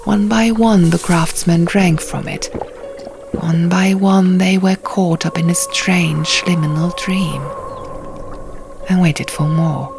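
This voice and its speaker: An earnest young man , voiced by a woman, recounts ancient lore in an audiobook. The delivery is theatrical and very slow, with weighted pauses. The voice is slightly low and creaky, with music underneath. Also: fairly even intonation. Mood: solemn storytelling. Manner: measured, theatrical with pauses for effect. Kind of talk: audiobook character dialogue. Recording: music bed under the reading.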